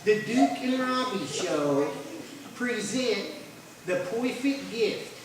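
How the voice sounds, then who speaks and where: A woman's voice: mid-range voice, lively intonation, slow pace. A woman voicing a brash, upbeat young puppet pal with casual slang in a live show. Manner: loud, exclamatory, casual slang. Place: church hall puppet show. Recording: echoey noisy hall from far off.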